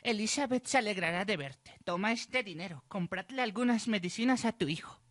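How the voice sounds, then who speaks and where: A woman's voice: mid-range voice, a little fast, fairly bright tone, no echo, natural voice. A woman playing a devout village priest in a student radio drama, solemn and consoling. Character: devout, fatherly village priest, trusting in God.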